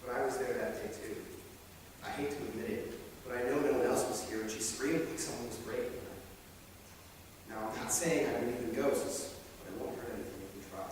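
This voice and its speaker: Man acting measured husband, distant echoey stage. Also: mid-range voice, darkish tone, lightly acted. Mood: reluctant agreement. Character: reasonable husband, grudgingly open-minded. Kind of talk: staged play reading. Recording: echoey theatre, far mic, faint noise.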